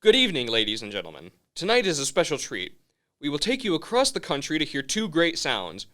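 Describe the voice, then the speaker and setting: Man acting as animated, bright radio show announcer. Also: a little high, fairly quick. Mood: welcoming. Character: lively male 1930s radio show announcer. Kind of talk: old-time radio announcing.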